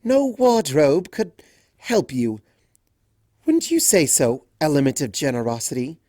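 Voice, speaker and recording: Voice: medium pitch, big pitch swings, unhurried, dark tone, dry recording, studio quality, pitched above the performer's own voice. A reader voicing a haughty, spiteful female crystal pony with a sneering, cutting delivery. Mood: sneering contempt.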